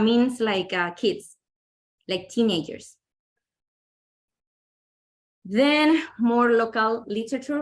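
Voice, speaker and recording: Woman presenting books, chatty. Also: medium pitch. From Honduras. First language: Spanish. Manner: enthusiastic, chatty, many fillers. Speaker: book presenter. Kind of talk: webinar book presentation.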